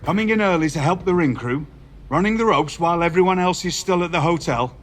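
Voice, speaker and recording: An actor playing a stern older wrestling general manager, speaking in a measured, formal, lecturing way. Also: male voice, fairly high pitch, natural voice. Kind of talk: video game voice acting.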